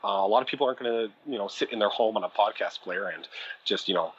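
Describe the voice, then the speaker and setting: A man's voice: on the low side, fast talker, breathy edge, highs cut off. A man, an audio drama producer and writer, chatting as a podcast guest in a rambling, self-deprecating way full of fillers and small laughs. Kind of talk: casual interview chat.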